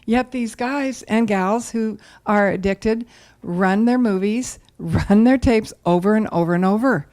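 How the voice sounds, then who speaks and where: A woman's voice: medium pitch, unhurried, a little mellow. A woman from the Seattle area, a volunteer practitioner, speaking with enthusiasm and frequent ums. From Seattle area, United States. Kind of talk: casual personal testimony.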